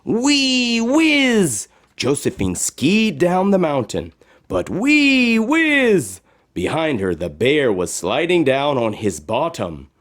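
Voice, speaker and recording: A man reading a children's story aloud in a dramatic, expressive narration style. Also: medium pitch. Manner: dramatic, expressive with sound words. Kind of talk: animated read-aloud narration.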